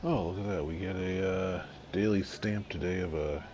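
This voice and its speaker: A man casually commenting on his gameplay, slightly nasal from allergies, halting with lots of fillers. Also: steady tone, a little mellow. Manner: halting, many fillers, unhurried and low-key. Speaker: gaming video creator. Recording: audible background noise.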